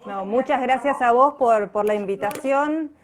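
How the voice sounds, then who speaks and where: A woman's voice: a little high, unhurried. A woman with an Argentine accent speaking casually as an interview guest on a live internet call. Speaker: interview guest, writer-director. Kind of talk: casual chat. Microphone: internet live video call.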